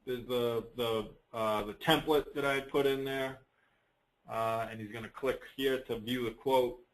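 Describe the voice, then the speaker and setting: A man giving a casual, unscripted software walkthrough on a conference call, rambling a little and thinking aloud as he presents. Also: medium pitch, steady tone, unhurried, poor audio.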